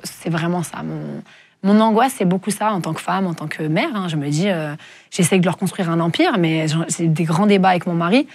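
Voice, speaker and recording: Woman, 40s, lowish husky voice, dry close sound, reflective interview guest who pauses a lot. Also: no room sound. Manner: reflective, soft-spoken with frequent hesitations. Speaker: guest singer. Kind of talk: candid interview talk.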